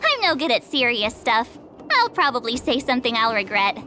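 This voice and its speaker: High, lively, perky adventurer girl. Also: normal speed. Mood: bubbly, casual. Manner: bubbly, informal, dramatic delivery. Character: perky, impulsive, talkative young adventurer girl. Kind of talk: anime game voice acting. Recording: game music behind the voice.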